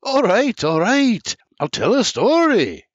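A man voicing a gruff, barking old father. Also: extremely high pitch, wildly swooping pitch, slow pace, no room sound, pitched above the performer's own voice, full character voice. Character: old Irish father, gruff storyteller patriarch. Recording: slightly muffled dry recording.

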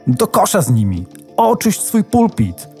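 A woman's voice: on the low side, huge pitch swings, warm tone, faint music behind, exaggerated voice. A woman playing a computer's memory as a soothing, bossy yoga guru in a children's radio play, drawing out her words like a meditation teacher. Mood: calm, guiding. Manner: soothing, drawn-out, mock-meditative.

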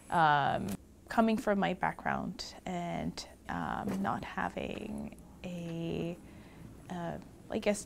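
Young woman, from Puerto Rico, unhurried, gravelly low voice, hesitant ums, bookshelf office, faint background hiss. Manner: hesitant, many ums between phrases. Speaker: research scholar. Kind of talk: documentary interview.

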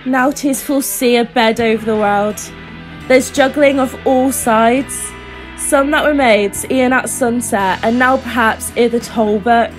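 Woman doing a sly conspiratorial narrator, slow old verse, fairly high voice, music behind. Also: steady tone, close to an everyday voice, poor audio. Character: sly, conspiratorial narrator of night-time scandal. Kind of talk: Jacobean verse audio drama narration.

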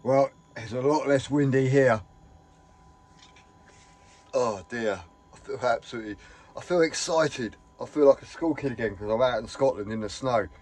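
A man chatting casually to camera from inside his camper van, rambling and enthusiastic, his voice a little hoarse. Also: medium pitch, moderate pace, darkish tone. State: slightly hoarse. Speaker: vlogger. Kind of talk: casual vlog chat.

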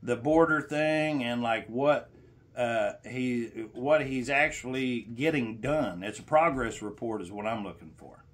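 Insistent middle-aged man, lecturing and halting. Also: medium pitch. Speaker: caller to a senator's office. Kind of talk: confrontational phone complaint. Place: small room indoors.

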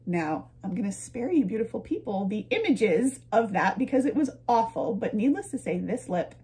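A woman's voice: mid-range voice, very animated, moderate pace, warm tone. Chatty young woman telling a casual story. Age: young adult. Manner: chatty, playful, frequent digressions and chuckles. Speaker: vlogger and storyteller. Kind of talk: casual personal storytelling to camera. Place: medium-sized home room, indoors.

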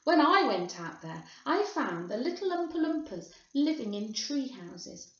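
Woman as eccentric male candy factory owner, storybook, boastful, exclaiming, high-pitched, slightly muffled recording. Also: big pitch swings, a little slow, a bit bright, heavily acted. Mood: boastful, emphatic. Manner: theatrical, exclaiming, emphatic. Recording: muffled, boxy echoing room.

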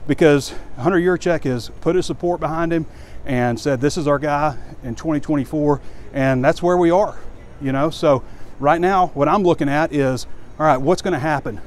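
Man in his forties, slightly high voice, blunt frustrated sports commentary, rambling, handheld phone outdoors, faint ambient noise. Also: normal speed, hardly any pauses. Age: 40s. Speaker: sports reporter and publisher. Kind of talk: walk-and-talk commentary to camera. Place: outdoors, walking around a stadium at night. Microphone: phone at arm's length.

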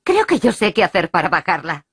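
A woman voicing a calm, patient mother pig in a cartoon dub. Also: fairly low pitch, fairly quick, breathy edge, no room sound, clean studio sound, full character voice. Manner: patient, gentle.

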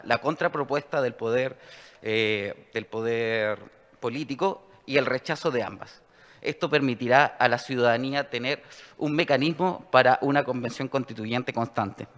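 A man's voice: medium pitch, fairly bright tone. A man giving a formal, explanatory slide presentation. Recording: long reverberant hall echo.

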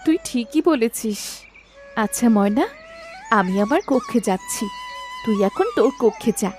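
A loving lady of a storybook palace. Her voice is high and moves widely with feeling. The delivery is tender and dramatic, as in a cartoon fairy tale, with music underneath. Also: sing-song, normal speed. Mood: affectionate. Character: gentle, loving fairy-tale queens and anxious palace maid.

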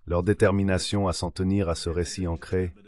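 A man's voice: deep voice, level tone, brisk, darkish tone. A man's synthetic voice-over reading an interview guest's translated answers in a scripted way.

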